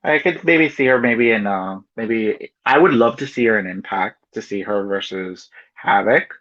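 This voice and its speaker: A man's voice: lowish voice, moderate pace, fairly bright tone, no room sound, dull audio. A man co-hosting a podcast, talking loosely and chattily, full of "you know" and "like". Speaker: podcast co-host. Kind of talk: casual chat.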